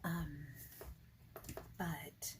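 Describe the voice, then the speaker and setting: A woman playing a friendly, informal boss in an ASMR interview roleplay, speaking softly and haltingly with whispered phrases. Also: sits low, flat delivery, noisy background. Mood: casual, welcoming. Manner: halting, casual, soft-spoken, with breathy whispered phrases.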